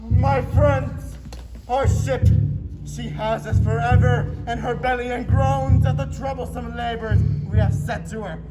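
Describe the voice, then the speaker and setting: A man's voice: extremely high pitch, fairly even intonation, unhurried. A young man on stage playing an ambitious, despairing hero who leads sailors, in a theatrical style. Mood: exhorting. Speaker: student stage actor. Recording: roomy stage, loud music and audience.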